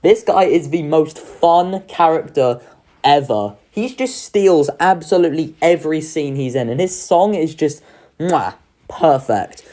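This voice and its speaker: Deep female voice, animated intonation, casual commentary, sardonic, informal, opinionated host. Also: a little slow. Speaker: video host ranking children's shows.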